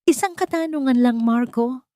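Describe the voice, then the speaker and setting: A woman's voice: high-pitched, normal speed, warm tone, no room sound, studio quality, pitched above the performer's own voice, close to an everyday voice. A woman voicing a betrayed, grieving young wife in a story, bitter and pleading. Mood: wounded pleading.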